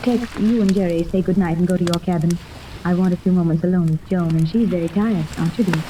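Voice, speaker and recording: A gentle, genteel mother played by an actress in an old radio serial. Her middle-aged voice is mellow and protective, heard through faint hiss. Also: lowish voice, fast, lightly acted, poor audio. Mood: tender. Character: gentle, protective, well-bred wealthy mother. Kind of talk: 1930s radio serial acting. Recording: faintly hissy 1930s radio recording.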